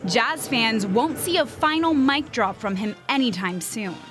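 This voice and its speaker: A woman's voice: a little high, lively intonation, unhurried, over music. A woman TV reporter reading scripted narration for a news package.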